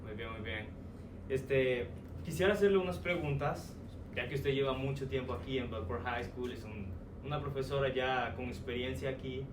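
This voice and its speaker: A man interviews a guest in a hesitant way, stumbling and repeating himself as he goes. His voice sits at an ordinary pitch for a man. The mic is set far away in an echoing room, with a constant noise bed. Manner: halting, repeats his own phrases. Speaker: podcast interviewer. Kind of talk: casual interview. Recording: roomy sound from a distant mic with noise.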